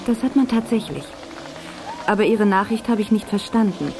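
A woman's voice: mid-range voice, expressive, normal speed, natural voice. An actress playing a cool, guarded businesswoman in an audio drama, on a noisy old cassette. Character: cool, guarded businesswoman running a race registration.

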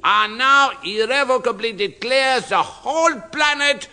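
An actor playing a brisk, officious conference chairman, formal and pompous, in a radio sitcom. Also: very high voice, pushed and bright, exaggerated voice.

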